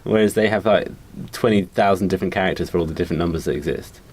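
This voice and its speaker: A man's voice: low-pitched, racing, a little mellow. A man co-hosting a podcast, chatting casually as he explains and debates things. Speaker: podcast co-host.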